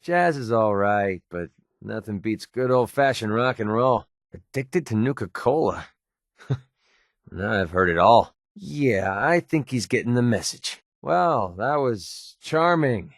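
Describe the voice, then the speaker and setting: A man's voice: mid-range voice, lively intonation, slow, gravelly, dry recording, clean studio sound. An American man playing a cynical, wisecracking young mercenary in a video game, with a casual, sarcastic, slangy delivery. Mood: skeptical. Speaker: video game companion character.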